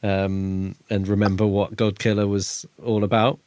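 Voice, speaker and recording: A man's voice: fairly low pitch, little pitch movement, unhurried, darkish tone, dry recording. A man, co-hosting a podcast in a casual book review chat. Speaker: podcast co-host.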